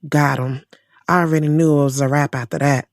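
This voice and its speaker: A woman's voice: sits low, fairly even intonation, brisk, dry recording. A woman playing a frank, sassy young single woman in an audio drama, conversational, slangy and wry. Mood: amused, sly. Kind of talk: first-person audio drama narration and dialogue.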